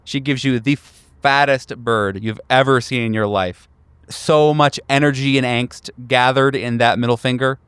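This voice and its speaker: A man runs a horror tabletop game as narrator. His voice is smooth and clear, slow and deliberate, with dramatic pauses and a close, dry sound. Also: mid-range voice, fairly even intonation, a bit bright, dry recording. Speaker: game master.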